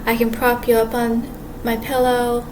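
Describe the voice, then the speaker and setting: Woman, soft quiet voice, slightly high, halting casual vlog talk; audible background ambience. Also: completely flat delivery. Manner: rambling, halting, many restarts and fillers. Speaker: vlogger. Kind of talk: casual monologue to camera. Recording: clear background ambience noise.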